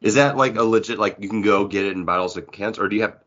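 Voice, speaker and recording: A man's voice: fairly low pitch, fast talker, a bit bright, muffled recording. A man chatting casually and bantering as a podcast co-host. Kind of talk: casual chat.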